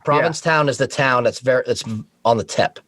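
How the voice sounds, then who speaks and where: A man's voice: medium pitch, a little fast, fairly bright tone. A man chatting informally as a podcast guest, telling work stories and swearing casually. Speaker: podcast guest, Coast Guard boat operator.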